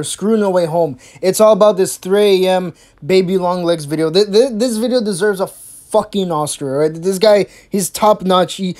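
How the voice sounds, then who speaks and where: Woman doing snarky reaction commentary, swears a lot, mid-pitched casual voice. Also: mellow. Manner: sarcastic, swears a lot, mimics in squeaky voices. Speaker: host.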